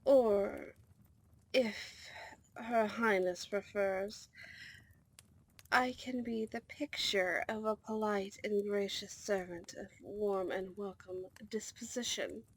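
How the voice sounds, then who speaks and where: A woman's voice: mid-range voice, steady tone, slow, slightly rough, low-quality recording. A woman playing a formal, deferential and protective servant to a princess in a scripted fantasy ASMR roleplay. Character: dutiful, protective female servant of a vampire princess.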